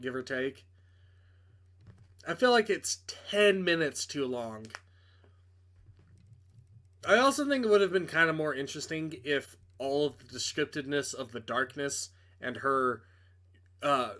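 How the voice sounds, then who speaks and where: A man's voice: medium pitch, a bit bright. A man hosting a game playthrough, reading a visual novel aloud and commenting casually. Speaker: game reviewer and host.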